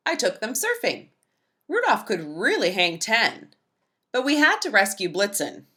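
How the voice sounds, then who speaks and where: Female storyteller for kids' picture books: lively, swooping delivery, bright forward tone, emphatic phrasing. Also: medium pitch, measured pace. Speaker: read-aloud storyteller. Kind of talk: expressive children's picture book read-aloud.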